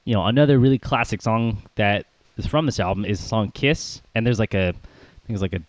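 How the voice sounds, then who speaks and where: A man's voice: fairly low pitch, a little fast, no room sound. A man co-hosting a casual podcast about music, rambling with plenty of fillers and little laughs. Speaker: podcast co-host.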